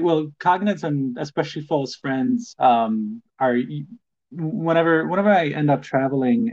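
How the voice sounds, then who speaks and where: A man, co-hosting a casual podcast, speaking hesitantly with many pauses and restarts, self-deprecating. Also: medium pitch, darkish tone, muffled recording. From Poland. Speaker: podcast co-host.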